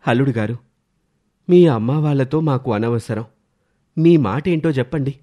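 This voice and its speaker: A man's voice: medium pitch, normal speed, dull audio, close to an everyday voice. Man playing a firm, protective middle-aged father.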